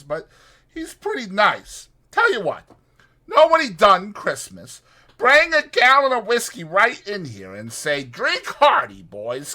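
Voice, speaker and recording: A man's voice: very high-pitched, sharp tone, pitched above the performer's own voice, heavily acted. A man voicing a chatty old ranch swamper with rambling, folksy speech for a dramatized audiobook.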